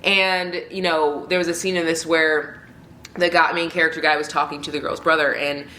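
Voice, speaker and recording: Rambling, chatty woman with a low voice, bright and congested, home vlog recording with an echo. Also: little pitch movement, moderate pace, slight background noise. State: congested, stuffy nose. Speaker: book vlogger. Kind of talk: casual book review chat. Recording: roomy echo.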